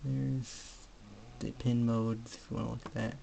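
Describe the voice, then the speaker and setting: A man giving a casual hands-on electronics demonstration, speaking haltingly in short piecemeal phrases. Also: medium pitch, level tone, gravelly, mellow. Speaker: hobbyist presenter. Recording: faint background noise with clicks.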